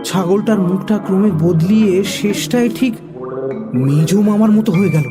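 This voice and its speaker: A woman's voice: medium pitch, moderate pace, over music. A woman narrating a scripted comic story for children as the storyteller.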